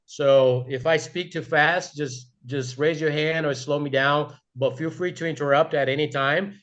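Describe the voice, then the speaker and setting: A man's voice: a little high, steady tone, normal speed, fairly bright tone. Passionate man presenting on a dull call. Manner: passionate, self-deprecating, uh fillers. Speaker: guest presenter.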